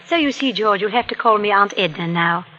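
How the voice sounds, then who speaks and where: A sweet elderly aunt from an old radio play, genteel and trusting. Her bright voice carries a long reverb on a muffled vintage recording. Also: medium pitch, animated, fairly quick, slight background noise. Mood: fond. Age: older. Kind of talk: 1940s radio acting.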